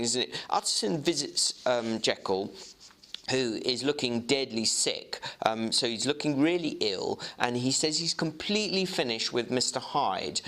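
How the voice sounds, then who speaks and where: A man reading and explaining literature as a narrator and teacher, in an expressive, emphatic manner. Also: medium pitch. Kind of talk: dramatic audiobook-style reading with informal commentary.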